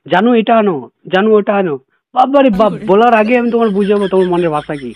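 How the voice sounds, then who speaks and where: A man's voice: high voice, lively intonation, fast talker, a little mellow. A man sweet-talking and coaxing on a prank phone call, often checking in with the listener. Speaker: prank caller. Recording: dull lo-fi call recording.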